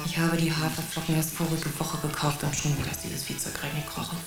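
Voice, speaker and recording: A woman's voice: deep voice, flat delivery, quick pace, close to an everyday voice. A woman playing an impatient, distracted, brusque mother in a German radio drama. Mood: distracted, irritated. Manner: brusque, dismissive. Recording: roomy sound, music behind.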